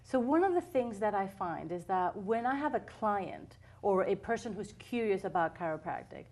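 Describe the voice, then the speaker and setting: A woman in her forties from Puerto Rico, a chiropractor explaining her work in a calm, earnest way as an interview guest. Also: medium pitch, moderate pace. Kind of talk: interview answers.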